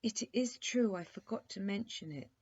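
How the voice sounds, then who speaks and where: A woman's voice: medium pitch, moderate pace, darkish tone, breathy edge, pitched above the performer's own voice, close to an everyday voice. A woman voicing a polite, resigned young prince in a storybook, speaking formally and courteously. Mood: defensive. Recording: dull lo-fi recording.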